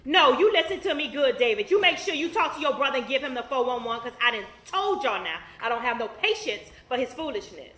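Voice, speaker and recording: A woman's voice: high-pitched, very animated, fairly quick, few pauses, roomy sound, exaggerated voice. An actress playing a harsh, abusive mother who scolds and scorns her son. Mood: scornful. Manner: scolding, sharp Southern slang. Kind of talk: stage play acting.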